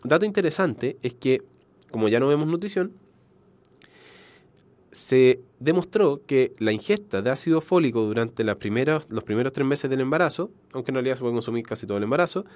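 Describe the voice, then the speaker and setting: A man's voice: mid-range voice, a little mellow, no echo. A man with a congested, nasal voice from a cold, giving an explanatory biology lecture online, often correcting himself and checking in. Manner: explanatory, frequent self-corrections and check-in tags. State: has a cold. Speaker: biology teacher.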